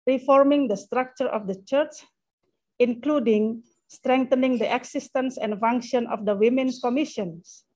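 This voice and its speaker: A woman from Indonesia reads a prepared conference statement over a video call. Her voice is a bit high and mellow, and she leans on fillers once she leaves the script. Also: rough recording. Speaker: church leader presenting. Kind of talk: formal conference presentation.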